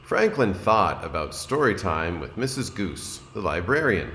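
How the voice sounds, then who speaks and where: A man, a kindergarten teacher, reading a storybook aloud to young children in an expressive, deliberate way and addressing his listeners. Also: low voice. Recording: roomy echo, noticeable background noise.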